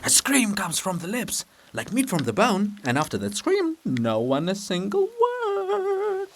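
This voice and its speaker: A man's voice: high voice, huge pitch swings, a little slow, pitched above the performer's own voice, exaggerated voice. Doom-laden stage chorus, performed by a man: lamenting, declamatory, prophetic, chant-like. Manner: incantatory, declamatory.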